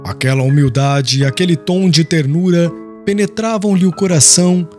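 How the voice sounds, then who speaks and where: A man's voice: on the high side, normal speed, a little mellow, soft background music. A man narrating a literary audiobook in a scripted storytelling style.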